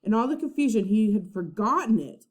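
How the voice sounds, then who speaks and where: A woman reading a children's storybook aloud like a teacher, with expressive, dramatic narration. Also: medium pitch, a little fast.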